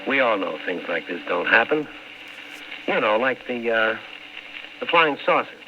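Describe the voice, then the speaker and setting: A man's voice: mid-range voice, very animated, measured pace, slight background noise. An actor playing a world-weary newspaper columnist in old-time radio drama style, wry and clipped as he tells his story. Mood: wry, reflective. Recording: muffled vintage radio recording with music.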